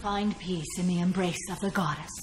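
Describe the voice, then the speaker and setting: A woman's voice: fairly low pitch, normal speed, very noisy, natural voice. An actress playing a stern, weary old alien warrior mother in a video game, speaking formally and solemnly. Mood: grieving, weary.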